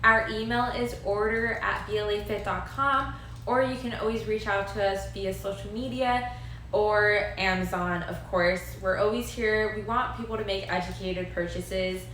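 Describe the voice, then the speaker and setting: A woman's voice: medium pitch, fairly even intonation, moderate pace, a bit bright. Chatty young woman in a very echoey room. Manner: chatty, upbeat, lots of "like" and "um". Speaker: live-stream host. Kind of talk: casual live-stream chat. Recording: very echoey room, faint noise.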